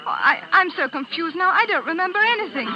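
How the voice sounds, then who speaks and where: A woman's voice: very high-pitched, expressive, quick pace, a crowd in the background. An actress playing an earnest, indignant young English lady in 1940s radio drama style. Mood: confused, pleading. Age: young adult. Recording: muffled 1940s radio recording.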